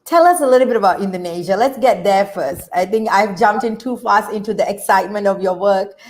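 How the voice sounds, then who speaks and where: Woman host, eager and upbeat, medium pitch. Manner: excited, fast and bubbly. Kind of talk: energetic interview hosting.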